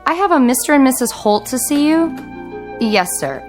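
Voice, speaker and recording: An actress playing a brisk, polite young receptionist in a radio drama. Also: female voice, sits high, animated, faint music behind. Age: young adult.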